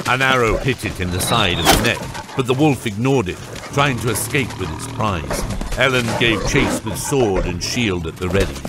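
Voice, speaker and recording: A man's voice: on the low side, animated, moderate pace. A man narrating a scripted fantasy audiobook. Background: loud music.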